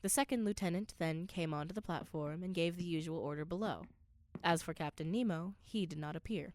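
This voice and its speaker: A woman's voice: on the low side, a little fast, a little mellow, natural voice. A woman playing an earnest scholar who narrates an undersea voyage in a radio play, with precise, literary, dramatic delivery. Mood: measured, puzzled.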